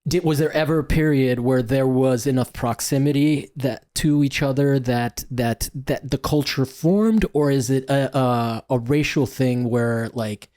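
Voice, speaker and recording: A man speaks as the host of a casual interview, in a medium-pitched voice. He is inquisitive and halting, often starting a question and then rephrasing it. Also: dark tone. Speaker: podcast host.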